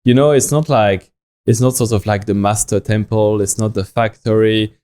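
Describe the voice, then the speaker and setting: A young man with a French accent talks in a podcast interview, stumbling and restarting as he works out his thoughts. His voice sits a bit low with a mellow, soft tone. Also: fairly even intonation, clear, resonant tone. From France. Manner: halting, many restarts and fillers. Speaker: watch company founder.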